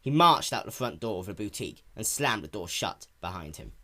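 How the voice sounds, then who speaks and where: A man's voice: fairly low pitch, sing-song, a little fast, bright, forceful tone. A man narrating a piece of fan fiction as a dramatic reading.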